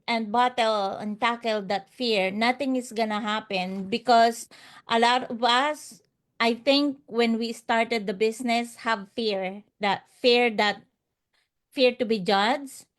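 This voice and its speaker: A woman with a fairly high voice speaks as a guest promoting an online business. English is not her first language, and she talks off the cuff in an earnest, motivational way, circling back over phrases for emphasis. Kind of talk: unscripted motivational testimonial.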